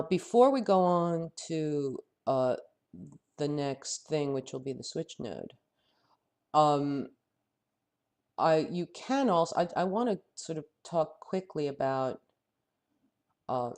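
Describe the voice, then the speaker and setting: A man with a head cold and a fading voice, teaching a software tutorial while he thinks aloud, halting often and correcting himself. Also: fairly high pitch. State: head cold, losing his voice. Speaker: software instructor. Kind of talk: screen-recorded software tutorial.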